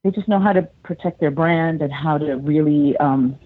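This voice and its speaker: A woman's voice: low voice, normal speed. A middle-aged American actress talks through a tinny, phone-like line with no room echo. She tells her stories animatedly, slips into voices for quoted lines, and laughs often. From United States. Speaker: actress, interview guest. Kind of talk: casual interview chat.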